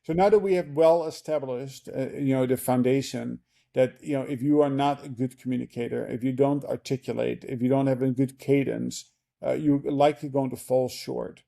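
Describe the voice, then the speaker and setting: Gravelly, dark-voiced male podcast host. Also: mid-range voice, steady tone, normal speed. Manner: rambling, many fillers and restarts. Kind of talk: casual interview talk.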